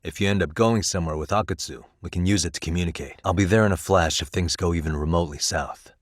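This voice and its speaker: Deep-voiced, wry detective. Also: male voice, fast, no echo, clean studio sound. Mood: businesslike, reassuring. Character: wry, dogged male private detective, streetwise and cool-headed. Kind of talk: English video game voice acting.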